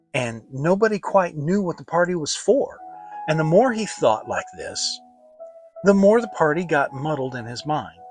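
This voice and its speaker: A narrator giving a theatrical, expressive dramatic reading of a children's storybook. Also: medium pitch. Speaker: audiobook narrator.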